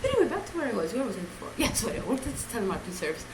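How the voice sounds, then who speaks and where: A woman's voice: fairly high pitch, huge pitch swings, rapid-fire, a crowd in the background. A young woman telling a rambling, giggly story in a chatty vlog, acting out the voices of the people she quotes. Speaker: vlogger.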